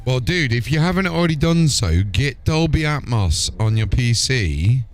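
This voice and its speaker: A man's voice: medium pitch, very animated, normal speed, faint music behind. A man doing casual live commentary as a game streamer.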